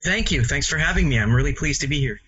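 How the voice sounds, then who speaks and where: Bright, husky Canadian man in his sixties. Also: medium pitch, expressive, fast. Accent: English-speaking Canadian. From Montreal, Canada. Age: 60s. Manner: rambling, self-correcting. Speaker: interview guest, comics author. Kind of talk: casual reminiscing interview. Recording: muffled lo-fi audio.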